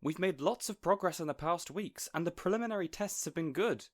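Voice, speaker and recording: A man's voice: on the high side, brisk, natural voice. A man playing an affable supervillain boss in an audio roleplay, chatty and awkwardly earnest, playfully bossy with an assistant. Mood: earnest, appreciative. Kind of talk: audio roleplay acting addressed to listener.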